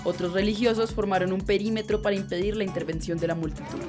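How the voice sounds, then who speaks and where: A woman playing a grave radio news reporter, reading a scripted bulletin in a formal, measured way. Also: medium pitch, steady tone, close to an everyday voice. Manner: formal, measured newsreader delivery. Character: grave female news reporter announcing breaking events. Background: music under the voice.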